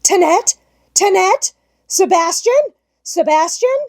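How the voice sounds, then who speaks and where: A woman's voice: lively intonation, takes it slowly, a little mellow, exaggerated voice. A woman voicing a strict, easily horrified middle-aged housekeeper who shrieks and scolds her orders in a storybook reading. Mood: horrified summons. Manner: shrill, commanding, scolding.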